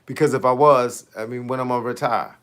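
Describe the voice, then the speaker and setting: A man's voice: mid-range voice, fairly even intonation, brisk, clear, resonant tone. A man in his late fifties, a fitness coach chatting casually on his livestream, slangy and talkative, answering viewers' comments with rhetorical questions. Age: 50s.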